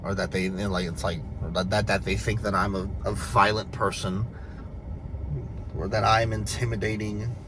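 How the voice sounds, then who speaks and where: A young man with a deep voice, vlogging a halting, confused personal rant full of restarts. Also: level tone, low-quality recording.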